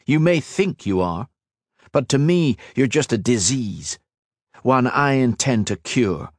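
A man's voice: medium pitch, expressive, slow pace, no echo, clean studio sound, pitched above the performer's own voice. A man voicing a defiant young hero who answers bluntly and challenges his enemy.

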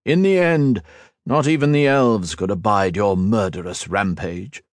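A man voicing a cold, mocking villainous male sorcerer who taunts slowly and theatrically. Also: fairly low pitch, no room sound, studio quality, lightly acted. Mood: taunting.

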